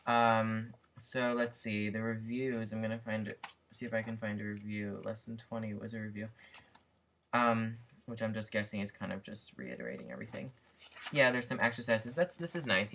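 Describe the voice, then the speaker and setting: A man's voice: lowish voice, a bit bright, a little hiss or hum. A young man casually reviewing a language book for his vlog, rambling with lots of fillers and restarts, recorded on a built-in computer mic at his desk. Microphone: built-in computer webcam mic.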